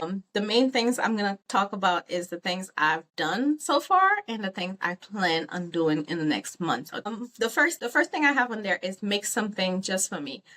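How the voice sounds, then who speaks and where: A woman's voice: medium pitch, a bit bright. A woman who grew up in Haiti, talking through her crafting plans in a chatty, rambling vlog with frequent ums and some teasing of herself. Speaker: crochet vlogger. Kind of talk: casual vlog chat.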